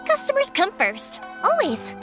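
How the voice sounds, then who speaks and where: A woman's voice: very high voice, huge pitch swings, unhurried, over music, full character voice. A woman voicing a bubbly, kind-hearted young fireworks maker in an anime game, chatty, eager and earnest. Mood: reassuring, apologetic. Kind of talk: English anime game voice acting.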